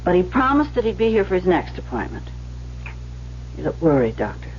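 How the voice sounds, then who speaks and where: Hesitant, polite young female receptionist for vintage radio drama; very expressive pitch, faint hum. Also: fairly quick, dull audio. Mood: polite, businesslike. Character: lonely, shy young woman receptionist at a doctor's office. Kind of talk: old-time radio drama acting.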